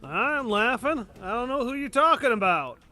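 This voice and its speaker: A man's voice: very high voice, big pitch swings, moderate pace, pushed and bright. A man chatting and bantering casually as a player in a tabletop game.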